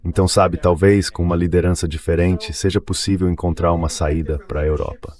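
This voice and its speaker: A man's voice: low voice, level tone, brisk, crowd noise. A synthetic male voice, dubbing a political commentator in discussion.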